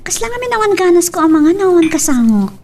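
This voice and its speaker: Very high-voiced shy young woman. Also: big pitch swings, fast talker, a little mellow, recorded from a distance, a little hiss or hum, exaggerated voice. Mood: shy, grateful. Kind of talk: radio drama acting in Ilocano.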